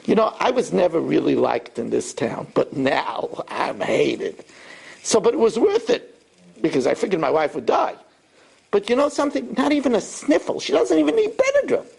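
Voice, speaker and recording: Man putting on a high, swooping voice for a loud, quarrelsome rich braggart, gravelly. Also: heavily acted. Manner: shrill, indignant, exclaiming.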